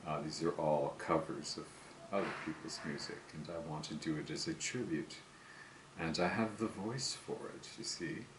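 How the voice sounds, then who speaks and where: An older man talking slowly and self-assuredly to camera about recording cover songs, rambling and musing as he goes. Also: very deep voice, steady tone, noisy background. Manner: slow, musing, self-assured, breaks into song. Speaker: home singer recording covers. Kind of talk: rambling solo monologue to camera.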